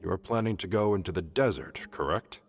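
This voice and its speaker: A man voicing a grave, dignified master scholar in a video game, formal and measured. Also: low voice, expressive, faint music behind. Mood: solemn.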